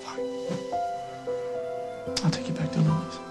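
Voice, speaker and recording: An actor playing a devoted, protective and stubborn lover in a soap opera, speaking earnestly and haltingly as he pleads, with music underneath. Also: close to an everyday voice. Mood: hurt, resisting. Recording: TV drama with prominent music underneath.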